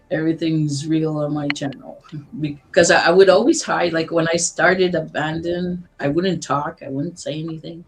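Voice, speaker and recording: A woman with a husky smoker's voice, chatting slowly as a guest on an online call and laughing between halting phrases. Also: sits low, steady tone, a little hiss or hum, low-quality recording. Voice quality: husky, says she has a smoker's voice. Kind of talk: casual chat.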